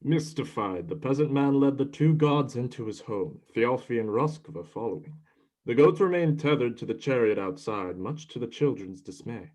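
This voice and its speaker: A man's voice: mid-range voice, expressive, normal speed, a little mellow, rough recording. A man with a mid-range voice serves as narrator. He reads scripted, dramatic prose in the manner of a mythic storyteller.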